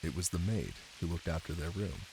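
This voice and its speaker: A man playing an affectionate, playful boyfriend in an intimate ASMR roleplay, with a deep, husky voice and soft, teasing delivery. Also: expressive, background noise. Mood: soft storytelling. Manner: soft, unhurried, teasing, with murmured pauses. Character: affectionate, playful, ticklish boyfriend reading aloud to partner. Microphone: close microphone. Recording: close, dry, with rain ambience. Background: rain.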